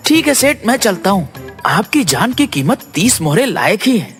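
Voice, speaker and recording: A man's voice: high voice, very animated, normal speed, a bit bright, soft background music, heavily acted. A man playing a cunning, boastful young farmer in a Hindi moral story, talking in a glib, persuasive way. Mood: sly, persuasive.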